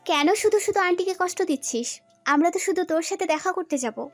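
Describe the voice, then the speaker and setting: A woman's voice: very high-pitched, brisk, soft background music. A woman playing a casual young friend chatting in a romantic audio story.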